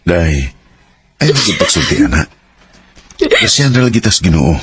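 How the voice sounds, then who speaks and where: An actor playing a devoted, hopeful young husband in a Filipino radio drama, speaking with a Filipino accent. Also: male voice, low voice, big pitch swings, unhurried, a bit bright, breathy edge, exaggerated voice. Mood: consoling.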